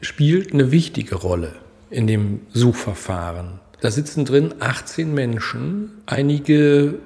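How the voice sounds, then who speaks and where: A man's voice: mid-range voice, lively intonation, takes it slowly, warm tone, husky. A man working as an interviewer and host, putting questions to guests and presenting narration.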